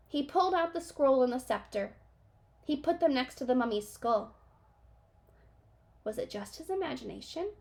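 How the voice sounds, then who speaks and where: Young woman teacher reading to kids; slightly gravelly, explains as she goes. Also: a little high. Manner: pauses to ask questions and explain. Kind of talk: reading a children's book aloud.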